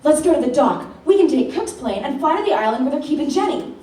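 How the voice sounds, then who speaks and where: Woman acting a high-voiced plucky action sidekick. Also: animated, fast talker, mellow. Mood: matter-of-fact. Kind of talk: comic live radio play acting. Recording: echoey hall, far mic, noisy background.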